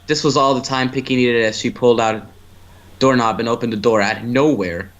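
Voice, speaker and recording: A man's voice: medium pitch, fairly even intonation, a little fast, highs cut off. A man reading a story aloud as an amateur narrator, stumbling over words and restarting phrases.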